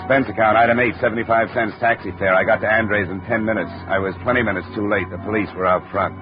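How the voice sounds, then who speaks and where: An actor in a 1950s radio drama playing a wry, hard-boiled American insurance investigator in clipped, dry, world-weary speech. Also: male voice, on the low side, fairly even intonation, quick pace, lo-fi sound, loud music behind the voice, close to an everyday voice. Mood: wry, sombre narration. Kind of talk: 1950s radio detective drama with first-person narration. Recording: band-limited old radio recording.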